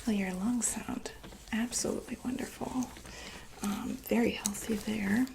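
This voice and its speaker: A woman whispering softly in a breathy ASMR medical roleplay, gently and tentatively talking through each step as a doctor. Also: mid-range voice, fairly even intonation, measured pace, background noise. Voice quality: breathy, mostly unvoiced whisper.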